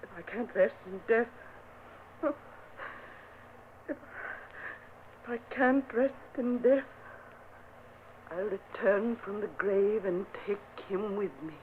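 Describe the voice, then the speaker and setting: A woman's voice: mid-range voice, takes it slowly, long pauses, lightly acted. An actress playing a frail, feverish young noblewoman in dread, in vintage radio drama style. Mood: desperate. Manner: breathy, pleading, intense. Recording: tinny, hissy old radio recording.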